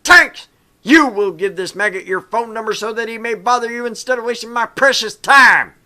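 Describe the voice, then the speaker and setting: Shouting, furious drill sergeant. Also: medium pitch, moderate pace, pitched above the performer's own voice. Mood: furious, barking. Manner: barking, insulting, exasperated. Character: furious bellowing military drill sergeant, tank academy instructor.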